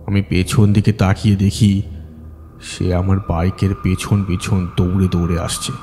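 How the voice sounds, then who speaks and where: A man's voice: low voice, flat delivery, moderate pace, background noise. A man narrating a ghost story in the first person as a dramatic audiobook reading. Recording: voice with long reverb.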